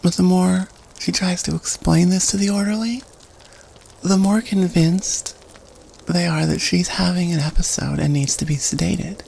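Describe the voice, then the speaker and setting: A woman acts out a student storyteller in a campfire audio drama. Her lowish voice has a creaky fry, and she lays out an eerie tale in halting, conversational phrases over faint background noise. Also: steady tone, mellow, poor audio. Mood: eerie, measured.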